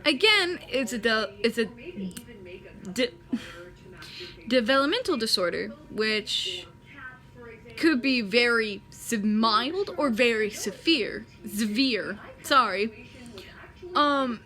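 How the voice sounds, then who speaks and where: A woman's voice: a little high, animated, slow pace, pauses often, pushed and bright. A nineteen-year-old woman talking casually to camera, rambling and correcting herself as she explains. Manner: rambling, self-correcting, chatty. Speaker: vlogger.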